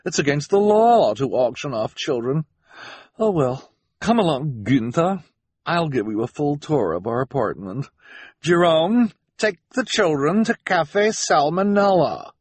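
A man's voice: on the high side, lively intonation, measured pace, slightly rough, no room sound, voice pushed up. A man voicing a vain, wealthy woman who is imperious, snappish and emphatic. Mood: brisk, bossy. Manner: haughty, impatient, emphatic.

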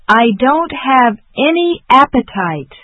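Slow, careful woman repeating phrases. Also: high-pitched, big pitch swings, no room sound. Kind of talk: slow repetition drill. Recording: dull dry lo-fi audio.

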